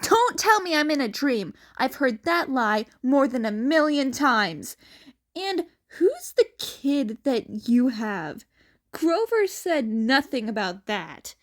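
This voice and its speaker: A woman's voice: sits high, very animated, takes it slowly, full character voice. A woman voicing an anxious, emotional teenage girl who speaks emphatically in a fan fiction story. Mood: disbelieving. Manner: exclaiming, emphatic.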